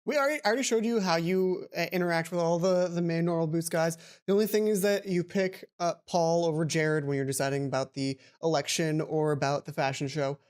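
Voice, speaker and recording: A woman's voice: on the low side, fairly quick, few pauses, darkish tone. A woman hosting a let's play, reading a visual novel aloud and adding casual commentary.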